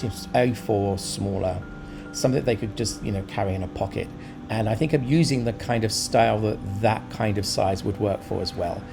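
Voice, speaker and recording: A man's voice: lowish voice, gravelly. A man giving informal, explanatory art commentary in a conversational, thoughtful way, choosing his words as he goes. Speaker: urban sketcher presenting a tutorial. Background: background music.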